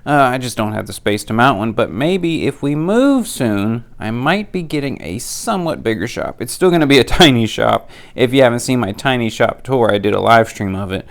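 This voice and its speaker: Nasal guy fighting a cold, gravelly and smooth, practical tool-deal vlogger, chatty and plain-spoken. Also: mid-range voice, lively intonation, moderate pace, few pauses. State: has a cold. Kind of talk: casual product rundown.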